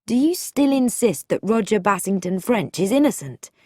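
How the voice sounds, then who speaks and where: Female reader doing earnest young guy sleuth, direct, slightly husky, mystery audiobook. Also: mid-range voice, sing-song, normal speed, a little mellow, no room sound, studio quality, pitched above the performer's own voice. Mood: cold. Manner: direct, reassuring, questioning. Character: earnest, protective young man turned amateur sleuth.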